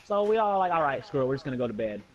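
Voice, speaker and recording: A man's voice: mid-range voice, very animated, very fast. A young adult American man casually telling a story to friends in slangy speech, acting out the dialogue as he goes. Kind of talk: casual anecdote among friends. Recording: dull lo-fi voice recording.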